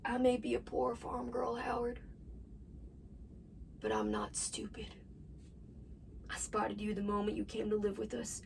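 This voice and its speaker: A woman's voice: a little high, lively intonation, unhurried, vocal fry, pauses often, darkish tone. A woman performing a dramatic monologue as a desperate young farm wife confessing to her absent husband in an anguished manner. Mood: pained confession, then quiet, bitter. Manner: anguished, swinging between whispers and outbursts. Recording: distant mic with faint background noise.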